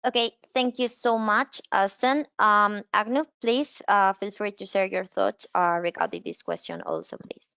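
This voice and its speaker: Lady moderating a panel call, smooth clear voice, very polite, dry sound. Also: medium pitch, very animated, normal speed, hardly any pauses, a bit bright, no echo. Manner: polite, deferential. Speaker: roundtable host, moderator. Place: remote conference call.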